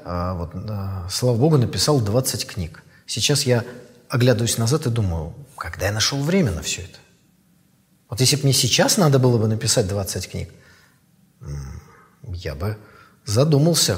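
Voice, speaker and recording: A man in his fifties, an author, giving thoughtful interview answers in a measured way with pauses and fillers. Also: fairly low pitch, in a large hall. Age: 50s.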